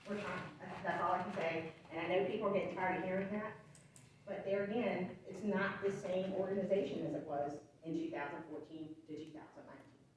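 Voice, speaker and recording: A woman from a volunteer fire department making an earnest, halting plea during public comment at a county meeting. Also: lowish voice, steady tone. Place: large echoey meeting room. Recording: echoey room, distant mic, faint noise.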